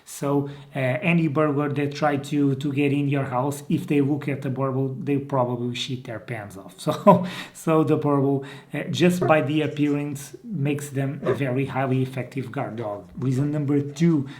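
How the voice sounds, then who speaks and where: A man's voice: medium pitch, fairly even intonation, normal speed, darkish tone. Portuguese-accented man explaining to camera. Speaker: dog breeder and channel host. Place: indoor room.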